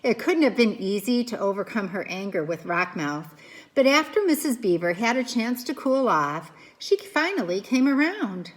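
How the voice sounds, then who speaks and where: A woman reading a children's book aloud as a teacher would, with expressive narration, dramatic pauses and questions for young listeners. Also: on the high side.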